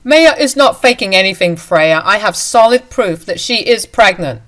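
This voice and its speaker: A woman reading a story aloud, voicing an angry, entitled husband who barks orders in a loud, demanding way. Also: medium pitch, expressive, moderate pace, lightly acted.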